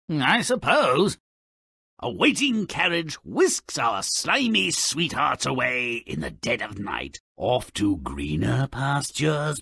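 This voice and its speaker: An actor voicing a cynical, gleefully wicked little gremlin who narrates fairy tales in a video game, with a gravelly rasp and a sarcastic, theatrical drawl. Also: male voice, mid-range voice, sharp tone, no echo, studio quality, heavily acted. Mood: incredulous, then wry storytelling. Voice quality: raspy, gravelly.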